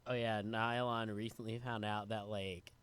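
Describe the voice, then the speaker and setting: A man's voice: on the low side, moderate pace, a little hiss or hum. A man raised in New York co-hosting a podcast and chatting loosely through a guest's background, with lots of filler words. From United States (raised in New York). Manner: relaxed, rambling, many "like" fillers. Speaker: podcast co-host and actor. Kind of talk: casual chat, reading guest bio.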